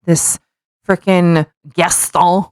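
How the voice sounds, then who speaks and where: A woman's voice: on the low side, little pitch movement, drawn-out words, darkish tone, clean tone, no echo, clean studio sound. A woman co-hosting a podcast in casual chat, with blunt, teasing, sarcastic commentary and mocking reading aloud. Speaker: podcast co-host.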